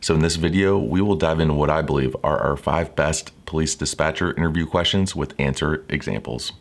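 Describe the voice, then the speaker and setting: A man presenting scripted instructional narration in a measured, formal, clearly structured way. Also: a bass voice, steady tone. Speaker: presenter of interview coaching videos.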